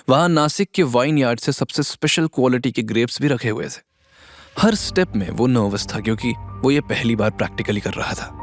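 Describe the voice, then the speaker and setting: A man narrating an audio drama in a steady, scripted storytelling style. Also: medium pitch. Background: faint music.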